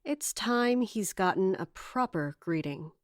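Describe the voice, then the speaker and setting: A woman's voice: mid-range voice, animated, a little hiss or hum, lightly acted. A woman playing a resolute, guarded guard captain, speaking formally and narrating in a fantasy audio drama. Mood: scheming, decisive. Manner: formal, measured, commanding.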